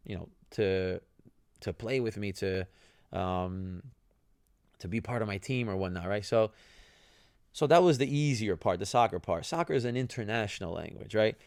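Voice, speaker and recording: A young man with Italian as his first language tells his own story in a loose, chatty way, tossing in "you know" and "right?" as he goes. His voice sits low with a gravelly edge. The sound is dry and close, with no room around him. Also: darkish tone, no echo. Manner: conversational, many fillers and tag questions. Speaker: podcast host, former soccer player. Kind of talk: casual storytelling.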